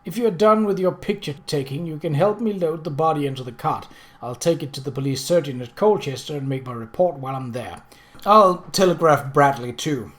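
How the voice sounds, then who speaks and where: A man's voice: fairly high pitch, brisk, fairly bright tone, lightly acted. Guy doing an earnest anxious cop in an audiobook, blunt, stresses his words. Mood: decisive. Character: earnest, anxious male police constable.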